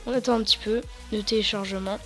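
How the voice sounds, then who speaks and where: A teenage girl talks through a computer tutorial from her desk. Her voice is a bit hoarse and sits at a middle pitch, and she rambles, hesitates and restarts her sentences. Faint music plays behind her. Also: fairly even intonation, fast, a bit bright. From France. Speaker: tutorial YouTuber. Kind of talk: casual screen-recorded tutorial.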